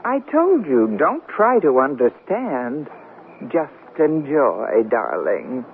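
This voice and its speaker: A woman's voice: extremely low pitch, wildly swooping pitch, slow, vocal fry, exaggerated voice. A woman playing a wry, wisecracking middle-aged newlywed in a 1970s radio comedy drama. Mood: teasing. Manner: dry, wisecracking. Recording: noisy, narrow-band 1970s radio recording.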